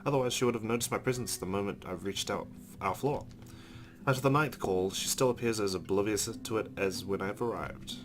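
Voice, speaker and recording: A man's voice: lowish voice, a little fast. A man hosting a let's play, reading a visual novel's narration aloud with casual asides.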